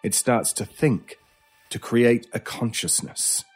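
Brooding, mysterious stranger in radio horror. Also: male voice, medium pitch, darkish tone, slightly rough. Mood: ominous, intense. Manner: deliberate, theatrical, dramatic pauses. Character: intense mysterious male stranger, brooding paranormal expert. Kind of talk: old-time radio horror acting. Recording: music under the voice. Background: music.

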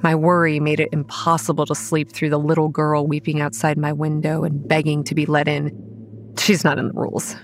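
A woman playing a pragmatic young campground manager, narrating a horror audio drama in a measured, matter-of-fact and wry way. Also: deep voice, completely flat delivery, a little hiss or hum. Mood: wry, weary narration. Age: young adult. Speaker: narrator and lead character. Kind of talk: first-person scripted horror narration and dialogue.

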